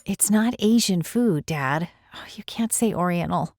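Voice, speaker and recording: A woman's voice: on the low side, expressive, unhurried, heavy background noise. A woman playing an exasperated adult daughter correcting her father.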